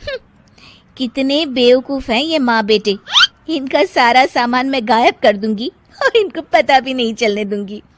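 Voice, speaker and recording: A woman as high-pitched, dramatic young daughter-in-law. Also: expressive, a little slow, noisy background. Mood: scheming. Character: young daughter-in-law, deceitful, quarrelsome, later remorseful. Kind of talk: Hindi cartoon story voice acting.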